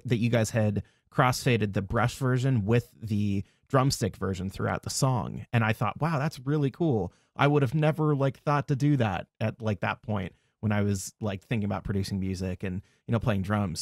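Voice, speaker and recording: A man's voice: lowish voice, moderate pace, creaky, few pauses, no echo, studio quality. Chatty young man hosting an interview. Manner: chatty, friendly, frequent "um" and "like". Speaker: interviewer host. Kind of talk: casual interview conversation.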